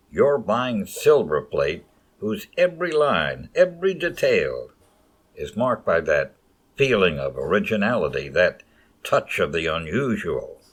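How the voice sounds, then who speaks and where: A man playing an earnest 1940s radio announcer and pitchman, with a husky voice. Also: full character voice.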